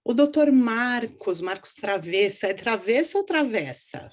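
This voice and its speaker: A woman's voice: a little high, lively intonation, measured pace. A woman from São Paulo with a paulista accent, hosting an online event and introducing a guest in a chatty, friendly way over a video call. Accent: São Paulo Portuguese. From São Paulo, Brazil. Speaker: host of a support group event.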